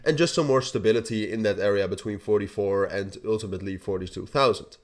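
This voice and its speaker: A young man talks through a casual market update in a lowish, nasal voice, his nose audibly stuffed up. He rambles, restarts his sentences and keeps tagging them with "all right?". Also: fast talker. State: blocked nose. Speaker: crypto channel host.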